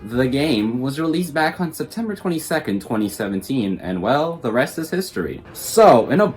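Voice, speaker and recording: A man's voice: medium pitch, very animated, moderate pace. A young man reading a scripted video game review, with jokey, self-aware asides. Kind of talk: scripted video essay narration. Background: background music.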